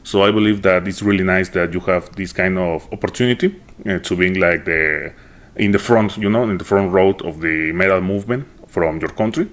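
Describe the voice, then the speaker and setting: A man from Mexico hosting an interview in English, enthusiastic and quick to agree. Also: sits low. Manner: enthusiastic, many affirming yeses. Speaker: podcast host, interviewer. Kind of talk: interview questions.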